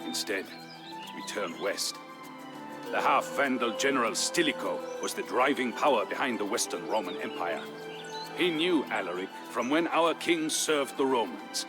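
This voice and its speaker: A man narrating as a grave Visigoth storyteller, recounting war history in a solemn, measured, theatrical way for a historical game. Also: mid-range voice, expressive. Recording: music behind the voice. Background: music.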